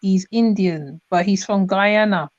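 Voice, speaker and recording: A woman's voice: medium pitch, unhurried, no echo. A woman from Trinidad, chatting casually and rambling with many restarts and fillers. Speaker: host. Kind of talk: casual chat. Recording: dull lo-fi sound.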